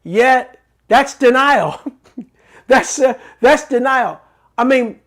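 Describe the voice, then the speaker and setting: A middle-aged host of a faith-based talk show, giving an earnest, preacherly teaching monologue that repeats phrases for emphasis. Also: medium pitch.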